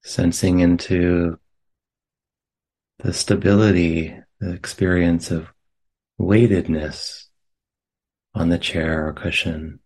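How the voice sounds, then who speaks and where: A man with a deep voice guides a meditation. He speaks very slowly and gently, in short phrases. Long pauses separate each instruction, giving an unhurried delivery. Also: fairly even intonation. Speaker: meditation teacher. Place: meditation center.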